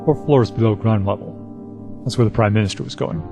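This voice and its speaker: A man's voice: on the low side, fairly quick, vocal fry. A man playing an earnest, respectful former companion of an adventurer in an audio drama. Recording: music bed under the dialogue.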